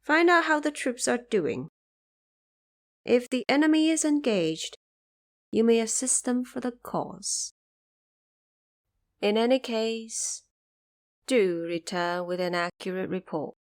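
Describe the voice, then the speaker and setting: A woman acts out a compassionate goddess in an audio drama. She is calm and courteous, with a formal, measured delivery that carries quiet command. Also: a little high.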